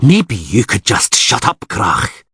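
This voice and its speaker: A man playing a calm, grim island lord who speaks in a measured, scathing way. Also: lowish voice, creaky, breathy edge, dry recording, studio quality, full character voice.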